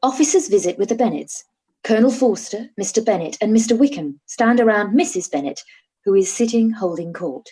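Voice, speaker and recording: A woman's voice: medium pitch, expressive, brisk, mellow, husky, poor audio. A woman reading stage directions aloud in a plain, matter-of-fact way for a live-read radio play.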